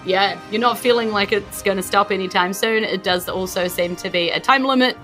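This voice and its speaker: A woman's voice: medium pitch, fairly quick, a bit bright, faint music behind. A woman with a New Zealand accent casually narrating a tabletop game as game master, playful in manner.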